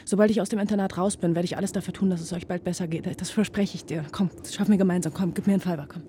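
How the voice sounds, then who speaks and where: A woman's voice: lowish voice, steady tone, very fast, mellow, a little hiss or hum, natural voice. An actress playing an earnest, idealistic young female student in a naturalistic radio play. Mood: earnest, reassuring.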